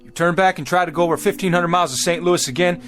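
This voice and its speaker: A man's voice: high voice, fairly even intonation, fast, fairly bright tone. An actor playing a desperate pioneer wagon leader who pleads with his people in an urgent, stammering way. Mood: warning.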